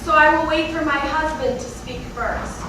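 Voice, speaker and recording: A woman's voice: sits high, fairly even intonation, normal speed, recorded from a distance, noisy background, natural voice. A woman playing a quarrelsome village wife in a folktale stage play, projecting to the room. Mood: hesitant, conciliatory. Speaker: actor in a school folktale play. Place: large room or hall, distant mic. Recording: echoey stage from afar, loud music.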